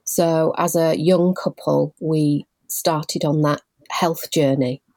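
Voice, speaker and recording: A woman in her forties from Leeds, a guest telling her story in a measured, thoughtful way with frequent pauses. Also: low voice, fairly even intonation, darkish tone, no room sound. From Leeds, England. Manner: measured and thoughtful, pausing often. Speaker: guest, patient involvement manager. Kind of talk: reflective personal storytelling.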